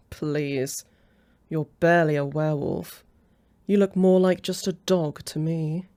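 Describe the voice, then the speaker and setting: A woman's voice: low voice, fairly even intonation, takes it slowly, mellow. A woman playing a haughty, possessive aristocratic female vampire in a roleplay audio drama, flirtatious and mocking, with a condescending drawl. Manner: drawling, taunting, chuckling condescension.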